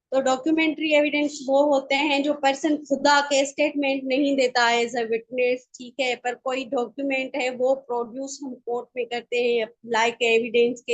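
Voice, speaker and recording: Woman lecturer, slightly high pitch, informal explanatory style, English terms mixed in, frequent checks for understanding. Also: rough recording. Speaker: law lecturer.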